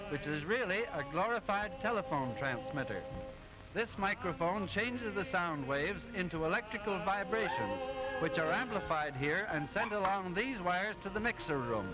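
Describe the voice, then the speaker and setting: High-pitched 1920s cartoon doctor. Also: male voice, poor audio. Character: brisk, confident doctor and sound-film expert. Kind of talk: 1920s cartoon acting, instructional explanation. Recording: old 1920s film soundtrack.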